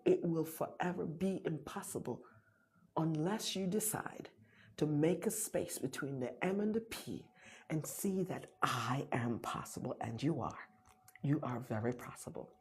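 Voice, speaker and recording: A woman's voice: low-pitched, dark tone, slight background noise. A middle-aged woman with a Jamaican accent hosting a motivational live talk, urgent and repetitive, dropping to whispers for emphasis.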